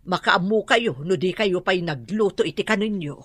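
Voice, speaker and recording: A woman's voice: on the low side, animated, moderate pace, dry recording. A woman acting a humble, long-suffering older housemaid and mother in an Ilocano radio drama. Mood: weary.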